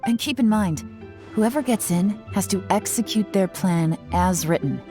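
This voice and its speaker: A woman's voice: mid-range voice, moderate pace, warm tone, lightly acted. A woman playing a confident, sly woman in charge in a video game, cool and self-assured. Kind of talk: video game character acting. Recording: game music playing behind.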